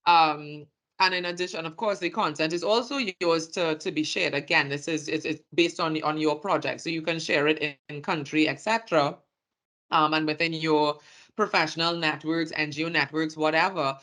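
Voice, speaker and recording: A speaker from Trinidad and Tobago with a Trinidadian accent, giving a workshop presentation in short, halting phrases. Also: mid-range voice, fairly even intonation, bright, forceful tone. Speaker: communications presenter.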